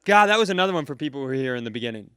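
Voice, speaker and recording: A man co-hosting a live comedy podcast, teasing his partner with quick ribbing. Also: mid-range voice, expressive, fairly bright tone. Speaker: comedian co-host.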